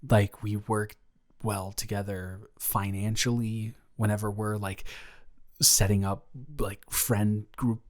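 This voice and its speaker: A man's voice: lowish voice, level tone, takes it slowly. A man playing a nervous, lovestruck young man confessing to his best friend in an improvised romantic audio roleplay, stammering and rambling as he goes. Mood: tender, earnest.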